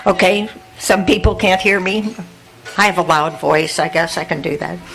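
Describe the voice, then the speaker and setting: An older woman reading her own poetry aloud at an event, measured and unhurried, then chatting loosely with fillers between pieces. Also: on the low side, creaky, a bit bright, soft background music, rough recording. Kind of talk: poetry reading and spoken introduction. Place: indoor venue with an audience.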